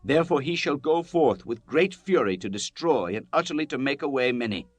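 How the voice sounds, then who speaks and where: A man's voice: mid-range voice, a bit bright, no echo. A man narrating scripture in a solemn, declamatory style, as in a dramatized Bible reading.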